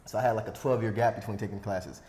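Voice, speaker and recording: A man hosting a casual podcast interview, talking in an easygoing way and tossing in self-deprecating jokes. His voice sits low and bounces around a noticeably echoey room. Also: fast talker, warm tone. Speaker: podcast host.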